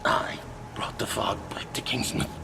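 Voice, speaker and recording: An actor playing a haunted, guilt-ridden seafarer in a video game, telling his story in a halting, brooding, breathy rasp. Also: in a whisper, close to an everyday voice. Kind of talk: dramatic game cutscene monologue. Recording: heavy background ambience noise.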